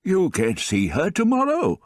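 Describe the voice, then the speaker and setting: A doting old grandfather, slow and fond, his voice raised well above a low baritone, as a male narrator puts him on. He leans on his words with tender emphasis, drawn out and lively in pitch. The read is dry and close. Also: no room sound, full character voice. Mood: gracious. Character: doting elderly grandfather, tearful and warm.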